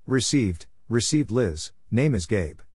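A man reading radio contact exchanges aloud as a practice narrator, spelling out call signs and numbers in a measured way. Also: fairly low pitch, expressive, darkish tone, slightly rough, no echo, studio quality. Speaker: Morse code practice narrator.

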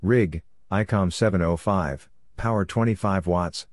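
Low-pitched man reading out clipped phrases. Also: measured pace, noisy background. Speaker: narrator of Morse code practice. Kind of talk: scripted readout of ham radio exchanges.

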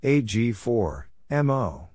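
A man reading out scripted radio exchanges for Morse code practice, spelling letters and numbers one by one in a measured, deliberate way. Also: medium pitch, very animated, a little mellow, no echo.

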